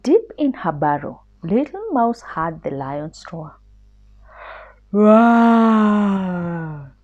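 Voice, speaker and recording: A woman reading a story aloud for children in an expressive, deliberate, teacherly way. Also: deep voice, lo-fi sound.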